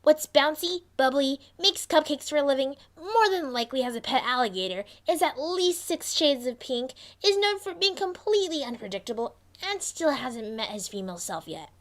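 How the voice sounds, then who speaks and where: A woman's voice: high voice, big pitch swings, moderate pace, hardly any pauses, fairly bright tone. A woman voicing a brash, tomboyish young female pegasus pony with a sarcastic edge.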